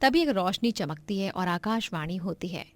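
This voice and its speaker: Female narrator for scripted moral bedtime stories; medium pitch, dry sound. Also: expressive, moderate pace, no room sound.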